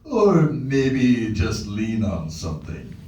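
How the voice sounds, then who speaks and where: Man acting anxious people-pleaser, halting, apologetic, lively pitch, roomy distant recording. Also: slight background noise, full character voice. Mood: nervous politeness. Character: nervous, awkward, lonely man desperate to please. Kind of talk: comedy screenplay table read. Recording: roomy, distant mic at live event.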